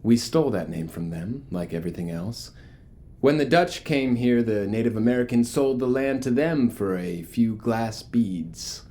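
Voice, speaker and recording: An actor playing a charismatic, ominous cult leader in a horror audio drama, preaching grandiosely with rhetorical pauses. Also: male voice, medium pitch, mellow, lightly acted. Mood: measured, ominous. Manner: theatrical, grandiose, pausing for rhetorical effect.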